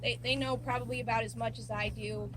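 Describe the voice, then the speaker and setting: A woman's voice: fairly high pitch, steady tone, fairly quick, poor audio. A middle-aged woman, a wildlife rehabilitator, giving an earnest, plainspoken public talk with many ums.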